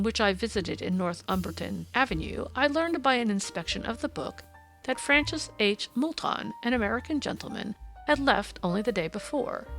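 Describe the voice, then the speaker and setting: A woman voicing a brisk, courteous Victorian male detective in an audiobook, precise, formal and explanatory in manner. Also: very high voice, vocal fry, fairly bright tone, exaggerated voice.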